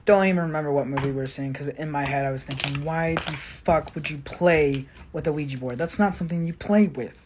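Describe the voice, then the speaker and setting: A woman's voice: sits low, a little fast, hardly any pauses, noisy background. A woman telling a casual first-person story in a blunt, sweary, exasperated manner, acting out the dialogue.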